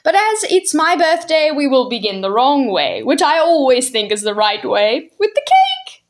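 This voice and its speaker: A woman voicing a jolly, eccentric older gentleman who sounds merry and bubbly. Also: high-pitched, wildly swooping pitch, normal speed, pitched above the performer's own voice.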